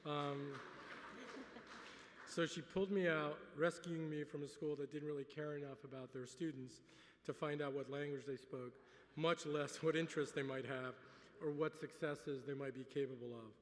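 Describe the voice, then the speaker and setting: A middle-aged man whose first language is French gives a relaxed acceptance speech at a gala dinner, with dry humor and many filler words. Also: a little high, normal speed, slight background noise. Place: large banquet hall. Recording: reverberant hall.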